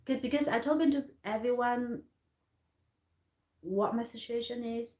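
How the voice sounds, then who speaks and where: A woman from Hong Kong in her thirties telling a personal story in halting English with many restarts. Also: a little high, warm tone, echoey room. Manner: halting, many restarts and fillers, non-native grammar. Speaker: artist vlogger.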